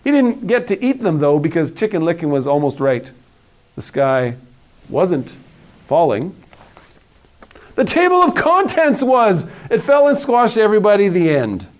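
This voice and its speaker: A man's voice: sits high, very animated, a little slow. A man in his forties reading a storybook aloud with playful, theatrical phrasing and casual asides. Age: 40s.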